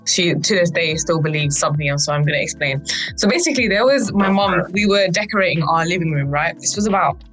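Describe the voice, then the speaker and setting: A woman's voice: on the low side, fast talker, soft background music, low-quality recording. A young British woman telling personal anecdotes in a casual, chatty way, peppered with "like" and "right?". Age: young adult. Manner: animated, quotes people in acted voices, frequent "like" and "right?". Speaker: youth board podcast speaker.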